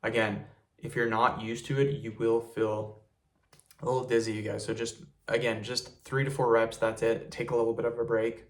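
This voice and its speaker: A young man talks straight to camera as the host of a casual tutorial. His pitch sits in the middle of the male range. He keeps things relaxed and repetitive, often calling his viewers "you guys". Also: moderate pace. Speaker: fitness video host.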